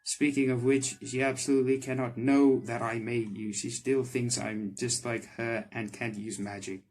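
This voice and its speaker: A man's voice: mid-range voice, level tone, normal speed, darkish tone, natural voice. A man voicing a flustered, kind-hearted young male magician from a game, hesitant and apologetic, with music behind. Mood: apologetic, encouraging. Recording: background music under the voice.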